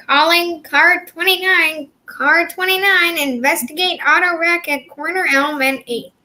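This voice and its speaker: A woman acts a police radio dispatcher in an old-time comedy show. The voice is very high and sharp. Bulletins come out clipped, brisk and official. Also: full character voice, low-quality recording.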